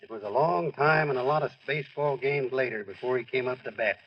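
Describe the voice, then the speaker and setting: An actor playing a folksy sportswriter who narrates a 1940s radio drama. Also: male voice, mid-range voice, a little fast, lightly acted. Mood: wry, storytelling. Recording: dull, distant vintage radio recording.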